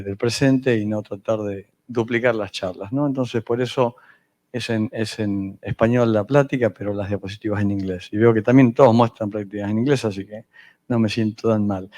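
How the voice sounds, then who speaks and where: A man from northern Argentina with a northern Argentine Spanish accent, giving a medical lecture on fertility, pausing often with fillers and restarts. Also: mid-range voice, a little mellow. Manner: hesitant, many fillers and restarts.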